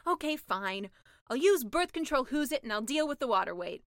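A woman's voice: sits high, sing-song, moderate pace, a bit bright, dry recording, clean studio sound, exaggerated voice. A woman voicing a naive, eager and impatient young mermaid with a perky, guileless manner in a comic fairy-tale audiobook. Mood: overconfident.

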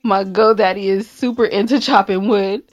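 A woman's voice: medium pitch, fairly even intonation, normal speed, faint music behind. A woman hosting a podcast in casual, conversational chat. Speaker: podcast host.

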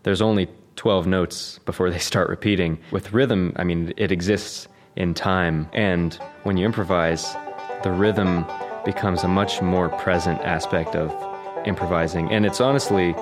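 A young man, a banjo player being interviewed, speaking thoughtfully and pausing to pick his words. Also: deep voice, steady tone. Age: young adult. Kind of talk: reflective interview talk. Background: loud banjo music.

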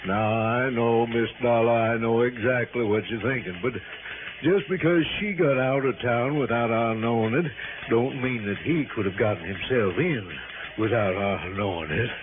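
A man acting a big, lazy Southern cop in his fifties for an old radio drama. He drawls in a folksy, stubbornly defensive way at a middling pitch. The recording is tinny and noisy. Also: normal speed, lightly acted. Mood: complacent. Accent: Southern American. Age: 50s.

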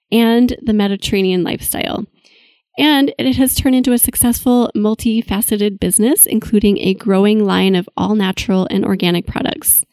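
A woman's voice: mid-range voice, a little mellow, dry recording. A woman hosting an interview podcast, introducing her guest with enthusiasm. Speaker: podcast host.

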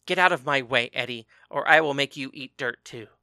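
A man's voice: a little high, expressive, slow pace, bright, forceful tone, no room sound, clean studio sound, pitched above the performer's own voice, heavily acted. A man playing a fierce ten-year-old girl. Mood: angry.

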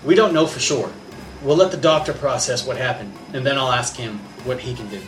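A man playing a gruff, distrustful navy captain in an audio drama, with music behind him. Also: medium pitch, a little fast, lightly acted. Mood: brisk commanding.

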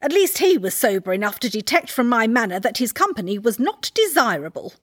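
An indignant middle-class Victorian wife with an English accent. Her voice is high and swoops expressively as she delivers cutting, deliberate sarcasm in a comic period audiobook. The sound is close and dry. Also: sing-song, a bit bright, no room sound. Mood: indignant scolding.

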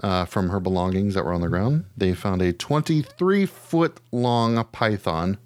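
A man's voice: on the low side, lively intonation, unhurried, a little mellow, no echo. A man hosting a podcast, chatting casually about odd news in a slangy, joking, profane way. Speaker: podcast host. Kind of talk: casual news chat with a co-host.

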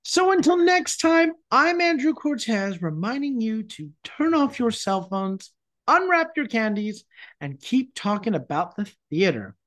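High-voiced man hosting a podcast. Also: sing-song, unhurried, a bit bright. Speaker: podcast host. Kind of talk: polished interview hosting.

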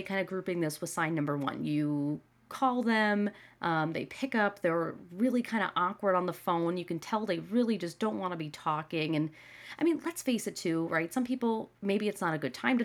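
Young woman doing a solo podcast, a bit stuffy and nasal from a cold, chatty and earnest, lots of "you know". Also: lowish voice. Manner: earnest, conversational, with frequent "right?" and "you know". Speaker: podcast host. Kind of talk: casual solo podcast talk.

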